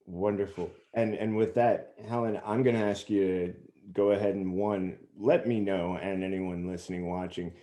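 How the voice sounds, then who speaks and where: A man's voice: low voice, steady tone, normal speed, few pauses, mellow. A man hosting a group interview on a video call, speaking in a conversational style. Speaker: interview host.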